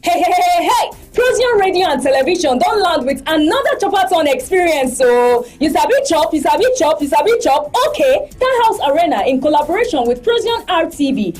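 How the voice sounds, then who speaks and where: Very high-pitched, exclamatory Nigerian woman. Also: animated, brisk, few pauses, soft background music. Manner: loud, exclamatory. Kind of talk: hype advert in Nigerian Pidgin.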